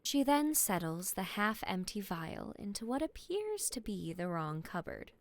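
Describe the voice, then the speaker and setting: A woman's voice: medium pitch, very animated, unhurried. A woman giving scripted narration for a fantasy story.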